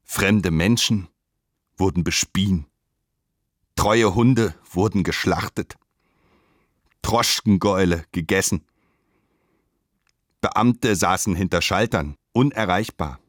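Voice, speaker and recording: A man narrates a literary radio drama in a measured, declamatory way with emphatic phrasing. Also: low-pitched, dry recording, clean studio sound.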